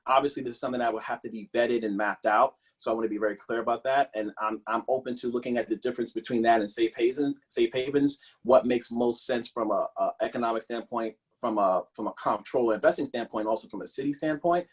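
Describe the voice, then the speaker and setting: A man speaking as a political candidate, answering a policy question in a halting, slightly husky voice that often repeats and corrects phrases. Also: mid-range voice.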